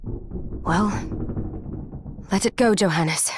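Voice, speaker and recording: A woman's voice: medium pitch, extremely slow, noisy background. A woman acting an earnest, determined young fighter in a dramatic video game scene. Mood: gentle resolve. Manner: earnest, resolute.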